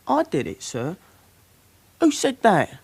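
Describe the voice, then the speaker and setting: An actor playing a frightened but stubborn teenage suspect in a radio drama, hesitant, deferential and protesting. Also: male voice, high voice, huge pitch swings, slow pace, vocal fry, slightly rough, full character voice. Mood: upset, disbelieving.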